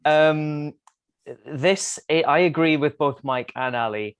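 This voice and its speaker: A man giving his opinion as a podcast panellist in a casual film discussion, hesitant and measured. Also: on the high side, clean tone.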